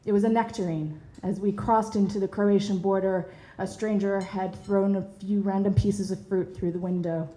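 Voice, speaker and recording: A woman in her thirties from Bosnia and Herzegovina, telling a personal story in an earnest, measured way with soft hesitations. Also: mid-range voice, steady tone, roomy sound, poor audio. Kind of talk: personal story told to an audience.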